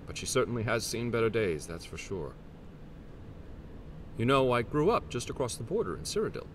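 Casting: a genteel middle-aged armored noble in a fantasy game, deliberate delivery with animated pitch swings. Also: male voice, noisy background. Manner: formal, warm, deliberate. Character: courteous middle-aged armored nobleman, returned to rebuild his town. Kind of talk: scripted fantasy game dialogue.

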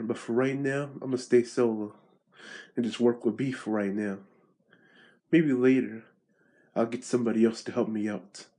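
A man's voice: mid-range voice, dark tone, close to an everyday voice. A young man playing a brash, chatty young thief who rambles to himself while walking an alley, recorded on a close-held phone outdoors. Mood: earnest. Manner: talks to himself in a restless ramble.

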